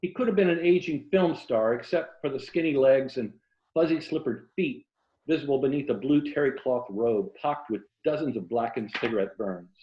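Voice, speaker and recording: An American man, a writer speaking as an interview guest in a wry, self-deprecating way, on an indoor video call. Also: medium pitch. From Tampa, Florida, USA. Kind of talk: casual conversation, then reading own novel excerpt aloud. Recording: roomy, muffled video-call audio.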